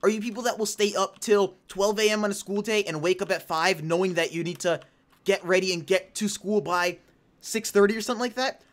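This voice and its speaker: A young woman in her late teens talks her way through a game with a chatty, excitable, rambling energy. Her voice sits at an ordinary middle pitch and carries a slight hoarseness. She sounds like a casual let's-play host chatting to her viewers. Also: a little fast. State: a little hoarse. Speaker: gaming channel host. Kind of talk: casual gameplay commentary.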